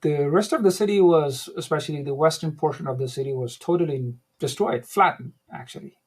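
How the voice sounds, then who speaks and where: A middle-aged man from Afghanistan, speaking as an interview guest, recalling his past in a measured, reflective way with frequent restarts. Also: a little high, slightly rough. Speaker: guest, academic center director. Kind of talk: interview, personal recollection.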